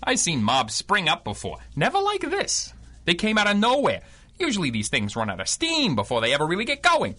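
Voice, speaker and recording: Wisecracking veteran crime reporter, period radio style, forceful, highly animated, slangy. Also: male voice, fairly quick, heavily acted. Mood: wry, animated. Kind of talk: 1930s-style radio drama acting.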